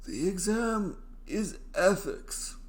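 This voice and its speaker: A stern, aloof male professor from an audiobook story, put on by a male narrator. His voice is raised high, and he draws out each formal command slowly. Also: sing-song, heavily acted. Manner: slow, drawn-out commands.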